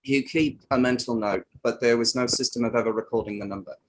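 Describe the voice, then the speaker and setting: A man's voice: mid-range voice, steady tone, fast talker, close to an everyday voice, low-quality recording. Man playing a firm, plain-spoken riverboat crewman witness. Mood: matter-of-fact. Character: male riverboat crewman witness, matter-of-fact and defensive. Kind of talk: table read of an inquiry play.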